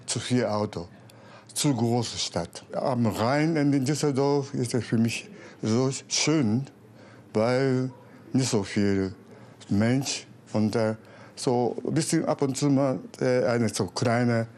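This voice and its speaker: A man from Japan with a Japanese accent, interviewed, speaking in halting, simple fragments as a non-native speaker. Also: mid-range voice, darkish tone, a little hiss or hum. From Tokyo, Japan. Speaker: shop owner being interviewed.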